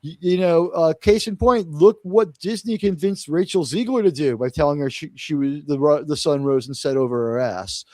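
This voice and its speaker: A man's voice: fairly high pitch, normal speed, few pauses, mellow, dry recording. A man from Queens, New York hosting a live discussion show, blunt and opinionated, swearing freely. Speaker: show host. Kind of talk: casual live panel talk.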